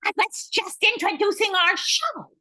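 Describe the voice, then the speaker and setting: A woman's voice: extremely high pitch, expressive, unhurried, sharp tone, heavily acted. A woman playing a self-important, supremely confident Munchkin announcer in an amateur radio comedy. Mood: grandly boastful. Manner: pompous, showy.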